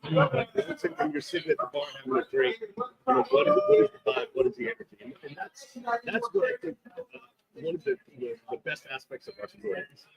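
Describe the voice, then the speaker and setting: Swooping gravelly man at noisy event. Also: very high voice, huge pitch swings, quick pace, muffled recording. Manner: swooping, emphatic, repeats phrases. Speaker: boxing industry guest. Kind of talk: interview answers. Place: busy press event hall. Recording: loud crowd chatter behind.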